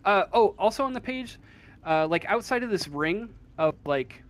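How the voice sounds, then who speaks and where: A man working as game master, improvising narration for a tabletop role-playing game in a halting way, searching for words. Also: high-pitched, expressive, fairly bright tone.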